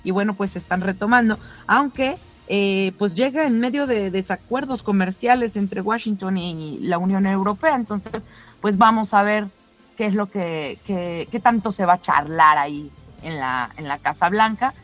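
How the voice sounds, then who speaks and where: A woman presenting the news in an informal, conversational way, somewhat halting and given to rhetorical questions. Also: medium pitch, soft background music.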